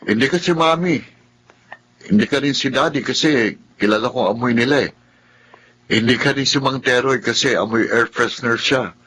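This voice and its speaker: A man playing a gentle, inquisitive young older brother in a Filipino radio drama, with a Filipino accent and a questioning manner. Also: medium pitch, normal speed, pauses often, slightly rough. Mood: curious, friendly.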